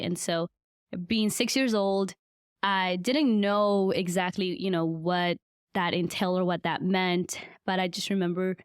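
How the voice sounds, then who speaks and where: Smooth-voiced woman telling her story. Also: lowish voice, dry recording, clean studio sound. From Guatemala. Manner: earnest and reflective, with frequent fillers. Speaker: podcast guest. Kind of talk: conversational life-story interview.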